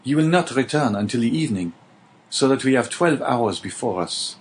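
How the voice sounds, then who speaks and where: A man's voice: medium pitch, animated, normal speed, a little hiss or hum, pitched above the performer's own voice, close to an everyday voice. A man voicing a desperate young male prisoner, pleading earnestly in a storybook reading. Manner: urgent, imploring storybook delivery.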